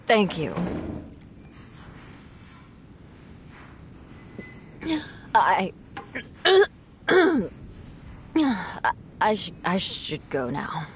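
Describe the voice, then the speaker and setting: A woman voicing a proud, guarded young noblewoman knight who is tipsy, speaking haltingly in a video game cutscene. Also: medium pitch, slight background noise. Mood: flustered, grateful.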